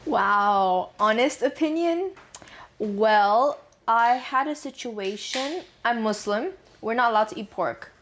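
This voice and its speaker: Young female student guest from Dubai, fairly high voice, candid and chatty. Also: expressive, takes it slowly, a bit bright, slight background noise. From Dubai, UAE. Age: young adult. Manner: frank, chatty, laughs easily. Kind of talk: casual interview answers.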